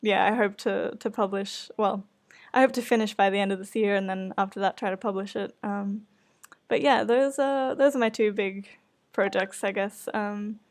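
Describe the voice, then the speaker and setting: A woman's voice: medium pitch, fairly even intonation, moderate pace. A woman podcast host chatting casually in an earnest, hesitant, self-conscious way. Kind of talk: casual chat.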